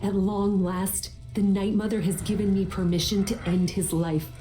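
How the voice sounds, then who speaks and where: A woman playing a vengeful, resolute leader of assassins in a video game, speaking in a measured, dramatic way. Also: mid-range voice, steady tone, dark tone, close to an everyday voice. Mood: grim resolve.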